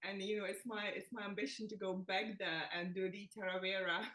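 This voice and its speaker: Woman, from the Czech Republic, podcast guest, chatty, self-deprecating, mid pitch. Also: fairly even intonation, fairly quick, fairly bright tone. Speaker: nutritionist guest. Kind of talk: casual interview chat.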